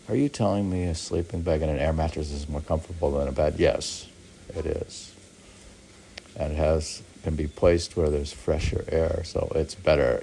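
Very deep, gravelly male poet. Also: mellow, rough recording. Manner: musing, asks and answers own questions. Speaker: poet reading own work. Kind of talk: reading poems aloud with rambling off-the-cuff commentary.

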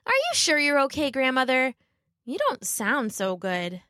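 A woman's voice: high voice, wildly swooping pitch, a little slow, bright, forceful tone, dry recording, clean studio sound, voice pushed up, heavily acted. A woman voicing a polite, innocent young girl with a childlike, cheerful manner in a children's story. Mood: concerned.

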